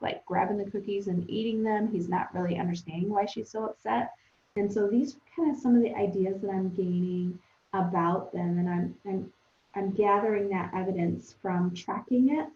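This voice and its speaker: A woman teacher thinking aloud for young pupils in a conversational, expressive read-aloud lesson, speaking to them directly. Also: medium pitch, highs cut off.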